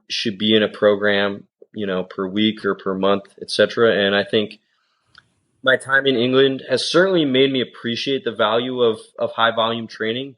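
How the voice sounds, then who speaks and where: Low-voiced man, thoughtful and filler-heavy. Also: a bit bright. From United States. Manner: thoughtful, full of "you know" and "um" fillers. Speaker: rowing guest, coxswain and coach. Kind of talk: casual interview answers.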